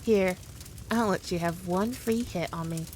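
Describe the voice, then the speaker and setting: A woman playing a powerful demon queen in an ASMR roleplay, condescending, teasing and theatrical, with deliberate, drawn-out phrasing. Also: lowish voice, darkish tone, a little hiss or hum, close to an everyday voice. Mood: taunting, coaxing. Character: powerful demon queen, haughty, teasing, dominant, toying with her foe. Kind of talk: scripted ASMR roleplay addressed to the listener.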